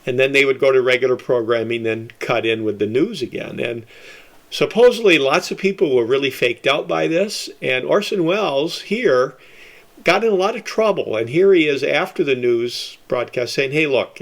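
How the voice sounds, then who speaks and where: A man's voice: medium pitch, moderate pace, creaky. A man presenting an informal history talk in a conversational way, with folksy asides. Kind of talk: informal history lecture with slides.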